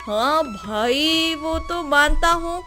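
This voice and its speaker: A lonely, humble bachelor from a cartoon moral story, played by a female narrator. The voice is high, with an effusive and plaintive manner. There is music behind it. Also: animated, drawn-out words, pushed and bright, heavily acted. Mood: polite, hesitant. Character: Popatlal, lonely self-pitying bachelor, humble younger man.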